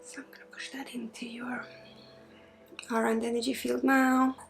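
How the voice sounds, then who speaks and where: A woman from Sweden in soft-spoken ASMR as a spiritual energy healer, drifting between a murmur and a breathy whisper. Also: on the high side, flat delivery, normal speed, faint music behind. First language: Swedish.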